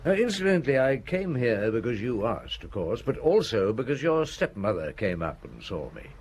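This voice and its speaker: An actor playing a calm, probing middle-aged police inspector in a radio drama. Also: male voice, medium pitch, breathy edge. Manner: measured, quietly probing.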